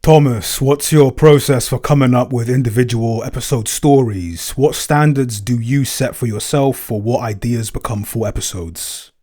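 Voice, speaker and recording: A man's voice: mid-range voice, moderate pace, few pauses, dark tone. A man co-hosting a casual Q&A, rambling and repeating phrases as he finds his words. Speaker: podcast co-host and producer. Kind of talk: casual Q&A chat.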